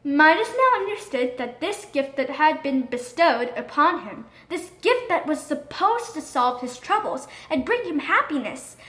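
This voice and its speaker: Female narrator for children's storybook, high voice, very expressive and dramatic, recorded in an echoey room. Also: unhurried, hardly any pauses. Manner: expressive, dramatic read-aloud delivery. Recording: roomy echo.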